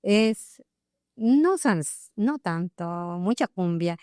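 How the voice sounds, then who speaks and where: A middle-aged woman with a Colombian Caribbean accent, talking casually as an interview guest in a measured, hesitant way with pauses between phrases. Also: medium pitch, lively intonation, dark tone, no echo, studio quality. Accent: Colombian Caribbean coast. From Barranquilla, Colombia. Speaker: interview guest, physician and professor. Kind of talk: casual podcast conversation.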